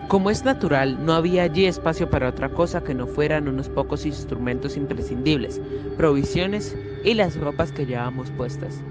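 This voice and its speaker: A man's voice: a little high, fairly quick. A young man reading a scripted, dramatic story as narrator. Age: young adult. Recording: music playing clearly behind the voice.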